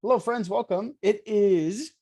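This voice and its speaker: A man's voice: high voice, measured pace, dark tone, no room sound, clean studio sound. A man with a congested, nasal voice from allergies, giving a casual online coding lecture with lots of fillers and self-corrections. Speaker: coding bootcamp instructor.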